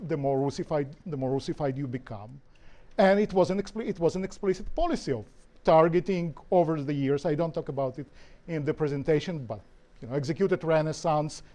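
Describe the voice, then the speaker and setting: A man's voice: on the high side, a little mellow. A man from Ukraine, an author answering questions at a panel discussion, thoughtful, often restarting his phrases with "you know". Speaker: author and historian, guest speaker. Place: lecture hall.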